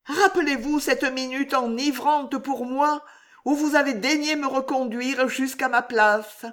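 A woman's voice: high voice, unhurried, a bit bright, voice pushed up. A woman reading aloud and voicing a young woman courtier who is trembling, ardent and scheming, pleading with a king. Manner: breathless, pleading, emotional.

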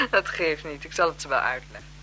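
A poised, flirtatious marquise in a seventies radio drama. Her formal, teasing speech swings very widely in pitch, over clear background noise. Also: big pitch swings, normal speed, sharp tone, full character voice, poor audio. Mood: composed, aloof. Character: self-possessed, flirtatious aristocratic marquise on holiday. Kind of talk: 1970s Dutch radio play acting.

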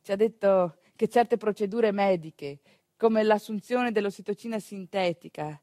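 A woman delivers a theatrical monologue to an audience, emphatic yet conversational, with deliberate pauses and repetitions. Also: medium pitch, dark tone, dry recording, studio quality. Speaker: stage actress and monologist.

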